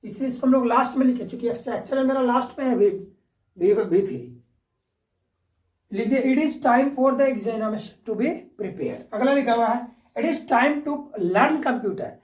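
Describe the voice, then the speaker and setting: A man's voice: extremely high pitch, unhurried, a little mellow. A man teaching a grammar lesson step by step, repeating points and checking for understanding with short tag questions. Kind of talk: classroom-style grammar lesson, explaining step by step. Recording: echoey room, distant microphone.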